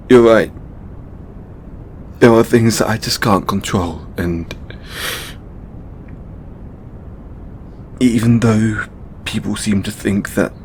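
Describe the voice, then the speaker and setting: A man playing a stressed, tender god confiding in a lover in an intimate audio roleplay, speaking haltingly with stammers and sighs. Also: darkish tone. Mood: weary, relieved. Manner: hesitant, halting, stammering and sighing. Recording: background noise with low rumble.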